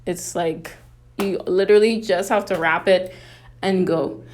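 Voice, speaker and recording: Bahamian lady doing a chatty diy craft tutorial, slightly low voice, walks you through it step by step. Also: steady tone, measured pace, a little mellow, slight background noise. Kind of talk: casual how-to demonstration.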